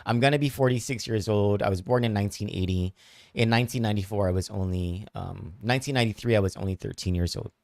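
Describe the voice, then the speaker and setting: A man hosts a live news analysis show, fielding viewer questions. He speaks in a lowish voice, stopping and restarting often as he thinks things through. The sound is dry and close. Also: fast, vocal fry, darkish tone, dry recording. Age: 40s. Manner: thoughtful, hesitant, many fillers and restarts.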